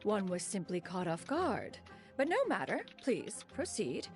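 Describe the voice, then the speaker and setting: Cloud Retainer, a pompous ancient crane spirit. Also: female voice, mid-range voice, big pitch swings, measured pace, darkish tone, faint music behind. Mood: grand. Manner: archaic and formal, refers to herself as "one", pompous. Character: ancient female crane spirit, grandiose, proud inventor. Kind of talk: game cutscene dialogue.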